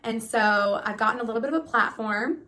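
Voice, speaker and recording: A woman in her thirties, originally from Pakistan, giving a spontaneous, rambling, animated self-introduction. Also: a little high, fairly quick. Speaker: live stream host applicant.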